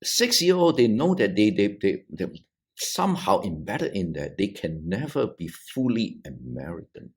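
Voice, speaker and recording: A middle-aged man from Malaysia, a theologian on a discussion podcast, talking in a halting way with frequent restarts and repeated words. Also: fairly low pitch, sing-song. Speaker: theologian panelist. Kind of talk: thoughtful discussion on a video podcast.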